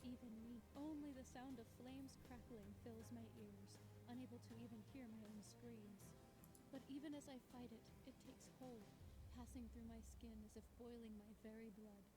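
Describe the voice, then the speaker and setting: A woman acting a defiant young fugitive, high-voiced. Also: moderate pace, over music, lightly acted, low-quality recording. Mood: dark, intense. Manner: expressive, tense delivery. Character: tough young female slum fugitive, defiant and desperate, narrating her ordeal. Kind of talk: dramatic first-person visual novel narration.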